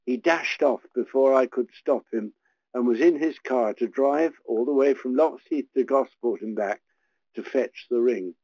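An older man, a retired parish priest, telling gentle, relaxed stories from his ministry. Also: medium pitch, unhurried, no room sound, low-quality recording. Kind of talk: reflective storytelling.

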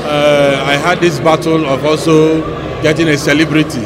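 A middle-aged Nigerian man answers in an interview, speaking as a director. His voice is fairly high, with clear room noise behind it. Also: flat delivery, moderate pace. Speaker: stage director and lecturer. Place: bare indoor backstage room. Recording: noisy room ambience.

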